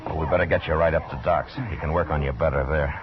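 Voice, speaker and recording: An actor playing a steady, authoritative Old West marshal in a 1950s radio western, measured and plain-spoken. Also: male voice, rumbling low. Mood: urgent, commanding. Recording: noisy, muffled old radio recording.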